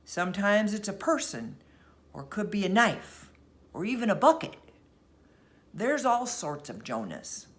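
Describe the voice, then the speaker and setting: A woman's voice: mid-range voice, big pitch swings, unhurried, long pauses. A woman voicing an easygoing sailor man in a storybook, explaining sea superstitions matter-of-factly. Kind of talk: storybook character voice.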